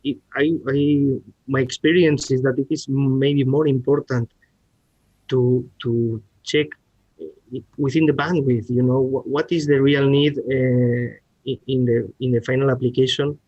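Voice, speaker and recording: A man with a Spanish accent explains technical matters haltingly, restarting words as he goes. His pitch is average, and the sound is dry and somewhat muffled, like a lo-fi online call. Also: fairly even intonation, a little mellow, dry recording. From Spain. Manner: halting, searching for words with repeated starts. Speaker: R&D director, podcast guest. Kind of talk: technical presentation and discussion.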